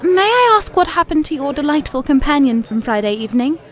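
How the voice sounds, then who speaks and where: An actress playing a courteous, formal waitress at an upscale restaurant in an audio drama. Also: female voice, high-pitched, very animated, fairly quick. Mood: polite. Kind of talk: science fiction audio drama acting.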